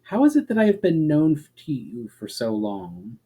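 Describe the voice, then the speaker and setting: A man's voice: a little high, huge pitch swings, moderate pace, mellow, breathy edge, full character voice. A man voicing a noble, earnest elf warrior in a fantasy storybook, with a formal and respectful manner. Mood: respectful wonder.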